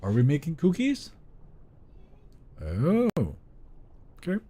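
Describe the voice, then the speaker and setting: A man's voice: fairly high pitch, wildly swooping pitch, takes it slowly, warm tone, breathy edge. A young man whose first language is Finnish, casually chatting with viewers and reading their messages during a live game stream from a home setup. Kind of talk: live gameplay stream, reading chat aloud.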